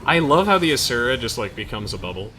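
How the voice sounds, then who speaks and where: A man's voice: mid-range voice, sing-song, quick pace, sharp tone. A young man giving casual, chatty gameplay commentary, explaining things in informal slang as he hosts a let's play. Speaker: gamer hosting a let's play. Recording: game music behind the voice. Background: video game music.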